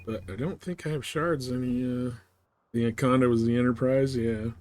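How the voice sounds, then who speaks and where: A man's voice: mid-range voice, steady tone, a little fast, darkish tone. A man streaming a game, chatting casually and thinking aloud in a conversational way. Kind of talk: casual chat while playing a space game.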